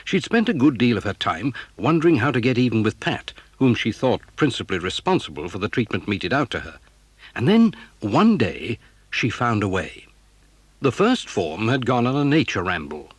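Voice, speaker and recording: Animated English male storyteller, slightly husky. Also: mid-range voice, moderate pace, dry recording. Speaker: narrator. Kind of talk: scripted storybook narration.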